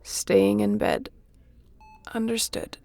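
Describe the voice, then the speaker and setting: A woman playing a wounded starship captain in a sci-fi audio roleplay, wry and caring, speaking haltingly and softly with a breathy, gravelly voice. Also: on the low side, wildly swooping pitch, heavily acted. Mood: sheepish, evasive. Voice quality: gravelly, often breathy and whispery.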